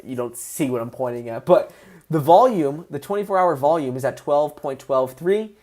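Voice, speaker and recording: A man hosting a casual livestream, excitable and answering viewers' chat questions as he goes. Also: fairly high pitch, normal speed. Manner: excitable, voice rises when passionate.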